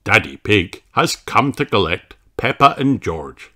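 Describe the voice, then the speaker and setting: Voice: mid-range voice, big pitch swings, a little slow, sharp tone, husky. A narrator reading a picture book aloud for young children in a storytelling style.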